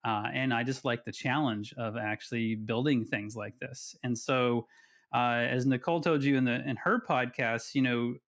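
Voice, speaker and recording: A man's voice: mid-range voice, steady tone, moderate pace, a bit bright. A man in his forties, a podcast guest telling his story in a chatty, self-deprecating way. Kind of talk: casual podcast interview.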